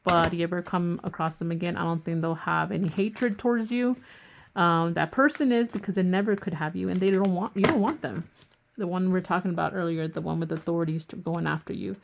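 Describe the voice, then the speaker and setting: Gravelly, rambling woman tarot reader. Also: on the low side, brisk, slight background noise. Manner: rambling, often restarts sentences; swears casually. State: losing her voice. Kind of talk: casual tarot reading to camera.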